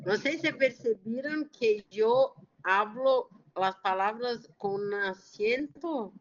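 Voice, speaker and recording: Slow, halting woman speaking accented Spanish. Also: fairly high pitch, a little hiss or hum. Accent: Porteño-influenced Spanish with Portuguese mix. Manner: halting, searching for words. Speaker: guest lecturer. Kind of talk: academic discussion after a lecture. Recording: dull, lo-fi online audio.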